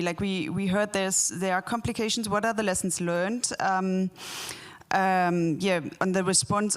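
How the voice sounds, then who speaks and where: A woman moderating a panel, asking a question hesitantly with many ums and likes. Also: fairly low pitch. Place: conference panel.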